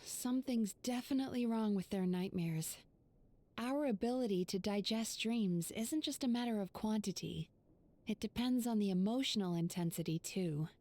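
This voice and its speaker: A woman's voice: mid-range voice, normal speed, warm tone, natural voice. A woman playing a composed, caring young female dream therapist in a video game, articulate and explanatory. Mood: grave, concerned. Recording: music behind.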